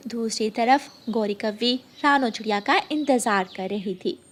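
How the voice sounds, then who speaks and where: A woman's voice: on the high side, unhurried. A woman reading scripted narration for a children's moral story as the storyteller.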